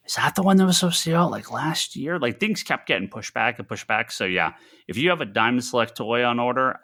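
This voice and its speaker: A man co-hosting a casual podcast on industry news, talking in a halting, thinking-aloud way with emphatic repetition. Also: lowish voice, bright, forceful tone. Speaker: podcast co-host who runs a comics store.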